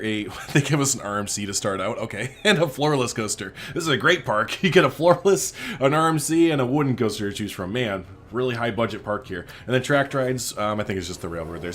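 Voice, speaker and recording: A man's voice: on the low side, fairly bright tone, slight background noise. A man hosting a gaming video, rambling casually and thinking aloud as he plays. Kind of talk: casual game commentary.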